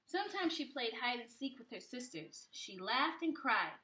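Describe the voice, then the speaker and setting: A woman reading a picture book aloud to young children like a preschool teacher, with expressive, animated phrasing. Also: a little high, moderate pace, background noise.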